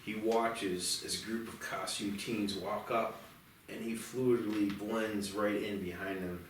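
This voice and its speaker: A man narrates a screenplay in a fairly low voice. He sits back from the microphone in a very echoey room, with faint noise, reading scene descriptions as scripted narration. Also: steady tone, slow, far from the mic. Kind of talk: scripted narration at a table read.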